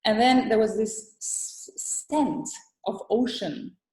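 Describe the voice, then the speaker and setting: A woman's voice: on the high side, slow, a little mellow, reverberant, rough recording. A woman from Thailand, a guest author, answering interview questions thoughtfully with many fillers and restarts.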